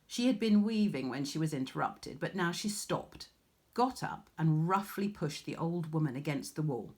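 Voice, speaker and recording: A woman's voice: low voice, animated, moderate pace, a little mellow. A woman with an English accent reading a story expressively to children, as a teacher would.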